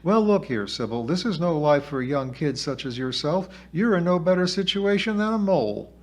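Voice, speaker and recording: A man's voice: on the high side, expressive, moderate pace, voice pushed up. A man voicing a plucky, bossy small-town girl, folksy and self-assured, in a storybook reading. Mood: lecturing. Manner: folksy, self-assured, talking like a little lawyer.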